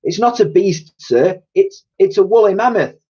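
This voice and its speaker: A man's voice: sits high, animated, moderate pace, dark tone, slightly rough, dull audio, voice pushed up. A man voicing a plucky, stubborn little homeless girl in a storybook, earnest and exclaiming. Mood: insistent.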